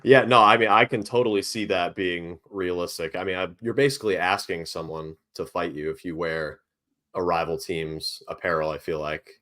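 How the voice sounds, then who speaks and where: A man from New England co-hosting a sports podcast in relaxed, easygoing chat. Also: sits low, normal speed. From New England, United States. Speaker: podcast co-host.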